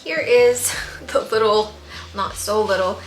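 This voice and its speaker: Hoarse chatty woman, echoey room. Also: medium pitch, slow pace, faint music behind. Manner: chatty, rambling with fillers. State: losing her voice. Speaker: plant vlogger. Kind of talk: casual vlog chat.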